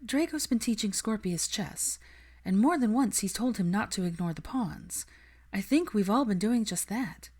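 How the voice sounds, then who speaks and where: A woman's voice: mid-range voice, animated, moderate pace, darkish tone, voice pushed up, lightly acted. A woman narrator acts out an idealistic young witch in a fan fiction audiobook. Her voice is lifted and light, with an earnest, thoughtful delivery. She sounds principled and sincere. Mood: earnest, persuasive.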